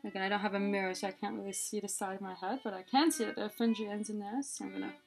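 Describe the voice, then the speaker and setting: A woman's voice: mid-range voice, steady tone, very fast, vocal fry, soft background music. A young woman talking casually and chattily through a hands-on tutorial, explaining step by step as its host. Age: young adult. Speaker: head scarf tutorial host. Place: small room indoors, plain wall.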